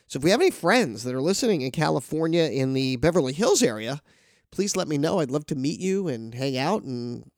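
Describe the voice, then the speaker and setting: A man's voice: on the high side, lively intonation, a little fast, a little mellow, no echo, studio quality. A man with a nasal, congested voice from a cold, hosting a casual news talk show and rambling with many restarts. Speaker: podcast host.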